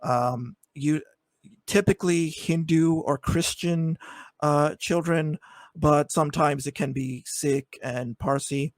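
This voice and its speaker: A man's voice: fairly high pitch, slow pace, dry recording. A man debating on a video call, hesitant with many fillers but building into pointed arguments. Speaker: debate guest. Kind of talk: online debate.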